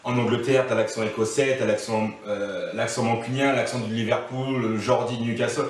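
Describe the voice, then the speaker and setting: A man's voice: mid-range voice, fairly even intonation, fairly quick, a little hiss or hum. Guy in his 30s chatting advice on a couch, lots of restarts, roomy echo. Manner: thoughtful, many restarts and fillers. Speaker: interview guest. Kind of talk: casual advice interview. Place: living room, on a couch. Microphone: far camera mic. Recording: echoey room, from a distance.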